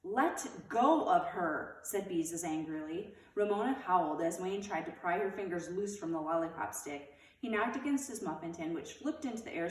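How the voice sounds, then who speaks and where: A woman reading a children's storybook aloud in an expressive narrating style. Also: on the low side, slight background noise. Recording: roomy echo, distant mic.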